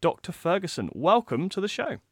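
Upbeat higher-voiced male podcast host. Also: sing-song, brisk, fairly bright tone, no echo. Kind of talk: interview hosting.

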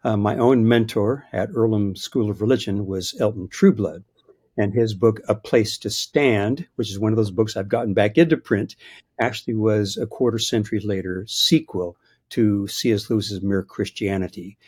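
Husky-voiced older man, thoughtful scholar. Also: lowish voice, normal speed, darkish tone. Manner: thoughtful, hesitant restarts, chuckles at his own points. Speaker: biblical scholar guest. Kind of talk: reflective interview answers.

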